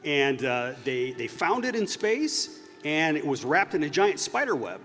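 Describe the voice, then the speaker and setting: Halting, animated man in an echoey hall. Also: a little high, faint music behind. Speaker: panelist. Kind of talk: unscripted storytelling at a live fan panel. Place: large convention stage. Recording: echoey hall PA with faint noise.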